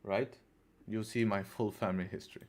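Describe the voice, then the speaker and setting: A man's voice: on the low side, steady tone, brisk, darkish tone, soft background music. Chatty man hosting a trading stream. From England. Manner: chatty, repeats phrases, answers chat questions. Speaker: livestream trading host. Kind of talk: casual live-stream chat.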